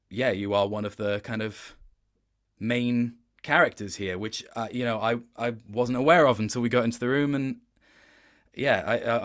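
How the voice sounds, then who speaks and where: A man's voice: mid-range voice, fairly bright tone. A man talking as a voice actor guest in a casual interview, telling anecdotes in a hesitant, rambling, appreciative way.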